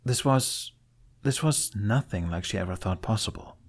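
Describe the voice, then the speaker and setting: A man narrates a scripted novel for an audiobook. His voice sits low, with a noticeable gravelly creak at the edges. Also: expressive, normal speed.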